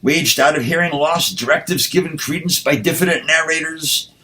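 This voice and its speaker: A man, a poet, speaking and reciting with deliberate, measured phrasing. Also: a little high, bright, forceful tone. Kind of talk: spoken introduction and poetry recitation.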